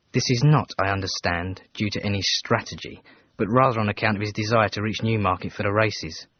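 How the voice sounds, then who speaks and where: An actor playing a dutiful, disapproving Edwardian manservant in a radio drama, formal and dry. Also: male voice, lowish voice, highs cut off, a little hiss or hum, natural voice. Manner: formal, dry, deferential but reproachful. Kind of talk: BBC radio period drama, letter narration.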